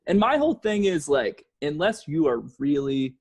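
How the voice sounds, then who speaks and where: A husky-voiced man hosting a casual comedy podcast, explaining things emphatically with asides and swearing. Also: on the high side, sing-song, moderate pace, a little mellow. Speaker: podcast host.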